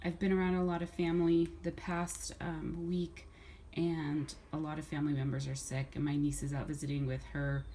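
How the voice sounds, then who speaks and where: A middle-aged woman talks in a lowish, slightly hoarse voice, as if she is fighting off a cold. She chats casually and rambles, restarting her sentences and filling pauses as she goes. A faint hiss of noise sits under her voice. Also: flat delivery, mellow. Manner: chatty, rambling, with many restarts and fillers. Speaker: vlogger. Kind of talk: casual haul video chat.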